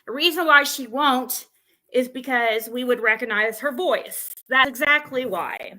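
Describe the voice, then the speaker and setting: Slow-talking woman with a bright, higher voice. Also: rough recording. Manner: halting, thinking aloud with trailing asides. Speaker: livestream panel host. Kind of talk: casual rambling livestream talk.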